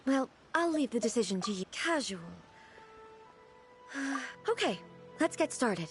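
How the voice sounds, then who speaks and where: A noble, reflective goddess ruler from a video game, with a fairly high feminine voice. She speaks formally and deliberately, with earnest, innocent puzzlement. Soft game music sits behind her. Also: sing-song. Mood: self-conscious, hesitant. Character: dignified, earnest, naive female ruler deity, reflective and formal. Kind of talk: video game character dialogue. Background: background game music.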